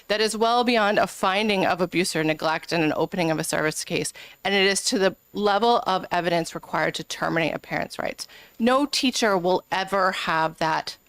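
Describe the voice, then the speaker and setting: A woman's voice: mid-range voice, a bit bright, smooth, dry recording, low-quality recording. A woman legislator presenting an amendment at a committee hearing, speaking earnestly and arguing her case with frequent ums. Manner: earnest, argumentative, many filler ums. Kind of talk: committee testimony.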